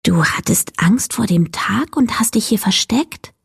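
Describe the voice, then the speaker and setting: A woman voicing a frightened little girl in a bedtime story, speaking in a hushed whisper. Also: on the high side, animated, moderate pace, breathy edge, no room sound, studio quality, full character voice.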